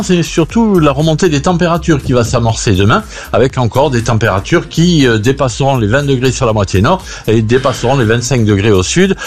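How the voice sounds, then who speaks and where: Male weather presenter, mid-pitched, relaxed and chatty, faint music under him. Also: breathy edge. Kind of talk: radio weather report.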